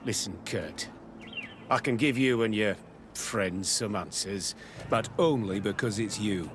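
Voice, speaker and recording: An actor playing a guarded, commanding military captain in a video game, speaking in a measured, curt and authoritative way. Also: male voice, on the low side, background noise, natural voice. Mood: guarded, official. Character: guarded, commanding male captain running a secret military camp. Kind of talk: video game dialogue acting.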